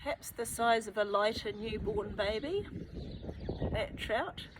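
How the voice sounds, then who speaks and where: A woman's voice: medium pitch, a little slow. A woman with a New Zealand accent reading a children's book aloud, adding chatty asides and thinking aloud to young listeners. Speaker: children's story reader. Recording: noticeable background noise.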